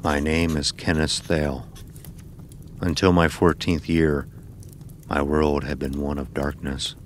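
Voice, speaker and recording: Very deep, solemn old man. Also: level tone, measured pace, a little hiss or hum. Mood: solemn, weary. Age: older. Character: weary old man narrating his dark memoirs. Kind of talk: scripted audio drama narration.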